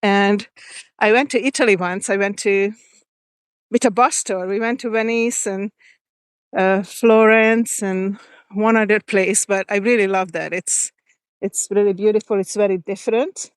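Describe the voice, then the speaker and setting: A woman's voice: medium pitch, steady tone, smooth, no echo. A woman chatting casually on a live video, walking outdoors in a forest and reading viewer comments as she goes. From Hungary. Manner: chatty, reads viewer comments aloud, pauses and restarts. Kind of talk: casual live-stream chat while walking.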